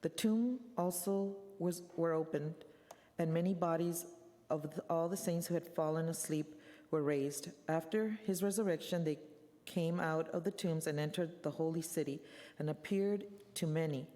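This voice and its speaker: A woman reads scripture as the narrator of a church dramatic reading. Her voice sits low and is dark and mellow, with the long reverb of a large sanctuary around it. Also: normal speed. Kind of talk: church readers' theater narration.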